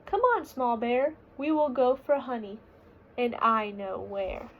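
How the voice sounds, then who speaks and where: A woman's voice: a little high, lively intonation, slow, phone-quality sound, slight background noise. A woman voicing a confident, boastful father bear from a children's storybook in sing-song rhyme. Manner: sing-song rhyming, self-assured.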